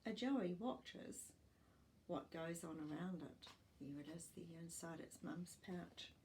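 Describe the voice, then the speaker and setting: Older lady doing storytime for little kids, mellow lowish voice, expressive read-aloud. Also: slight background noise. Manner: expressive, deliberate read-aloud delivery for young children. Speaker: storytime reader and host. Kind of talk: reading a children's non-fiction book aloud, with short asides. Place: small furnished living room, indoors.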